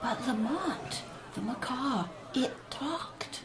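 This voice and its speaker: A woman playing an adoring, breathy young lady companion in 1930s radio drama style. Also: high-pitched, lively intonation, slow. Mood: admiring. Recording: noisy track with ambience.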